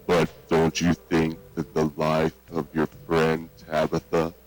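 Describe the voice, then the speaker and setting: Man acting as old grandfather, cult patriarch, very deep voice, monotone, deliberate, sermonizing, music behind. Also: heavily acted, rough recording. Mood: solemn. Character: solemn old grandfather, cult patriarch preaching child sacrifice. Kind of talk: slow scripture storytelling in a children's horror tape.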